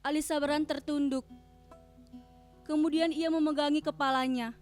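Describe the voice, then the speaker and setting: A woman narrator reading scripted stage directions in a dramatic reading. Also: high-pitched. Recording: guitar music behind the voice.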